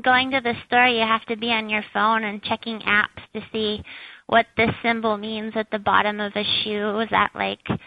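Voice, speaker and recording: A woman with a squeaky high voice, a shop owner chatting as an interview guest, with lots of fillers. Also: little pitch movement, moderate pace, gravelly, no room sound, dull audio. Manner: chatty, many fillers, laughs at herself. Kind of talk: casual interview answers.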